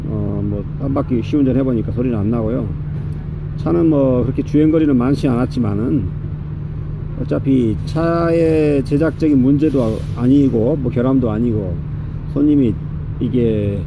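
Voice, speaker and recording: Middle-aged man, mechanic, casual step-by-step talk, phone camera, noisy garage. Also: mid-range voice. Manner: explains step by step while working, with fillers. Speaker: auto mechanic. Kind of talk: casual workshop vlog narration. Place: large indoor auto repair garage.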